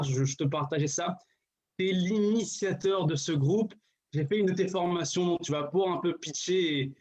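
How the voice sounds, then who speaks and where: A man opens a live online interview, thanking and welcoming his guest. His voice sits a little high for a man. He sounds grateful and warm. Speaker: host.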